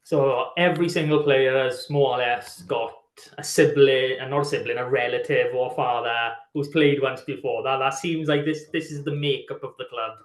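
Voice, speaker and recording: A man's voice: mid-range voice, fairly even intonation, moderate pace, few pauses. A man hosting a podcast interview, asking friendly, conversational questions. His voice stays very level, with little rise and fall. The recording is roomy and echoey. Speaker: podcast host.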